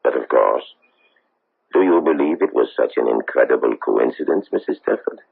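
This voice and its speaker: An actor playing a persistent, formal foreign Nazi hunter in a radio drama, coolly probing and insistent. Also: male voice, a bass voice, expressive, moderate pace, creaky, slightly rough, full character voice. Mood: coolly satisfied. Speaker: investigator seeking a war criminal's extradition. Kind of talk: 1970s radio drama acting. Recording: tinny, band-limited old radio broadcast.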